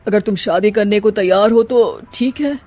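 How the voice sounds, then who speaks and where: A woman's voice: mid-range voice, moderate pace, dark tone, natural voice. A woman voicing a frustrated, grumbling, sarcastic father in a cartoon family drama. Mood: weary, defeated. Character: frustrated, blustering father of the bride. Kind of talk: Hindi moral-story cartoon dubbing.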